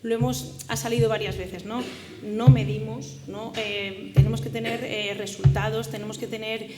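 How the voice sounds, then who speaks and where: A woman's voice: on the high side, level tone, normal speed, over loud music. A woman moderating a panel discussion in a casual, conversational way with fillers. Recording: echoey hall with background noise.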